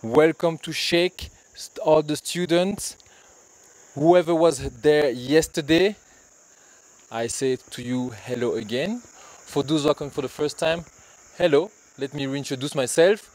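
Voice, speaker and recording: A man's voice: a little high, unhurried, darkish tone, poor audio. A man in his forties from Rwanda, a DJ giving an earnest, reflective talk to workshop students. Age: 40s. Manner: earnest, reflective, speaks in repeated lists. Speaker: DJ leading a workshop.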